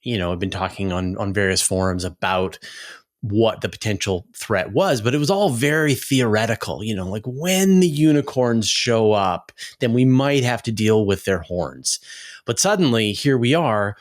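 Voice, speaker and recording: A man with a fairly low voice speaks as an interview host. His delivery is conversational, and he feels his way through each thought with hesitant, searching phrasing. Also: expressive, moderate pace.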